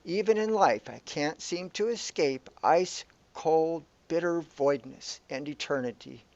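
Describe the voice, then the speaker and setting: A man's voice: a little high, measured pace, darkish tone. A man playing a bewildered, aggrieved man trapped in an absurd afterlife, complaining wordily in amateur sci-fi audio comedy style. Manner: complaining, wordy. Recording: echoey room, dull lo-fi sound.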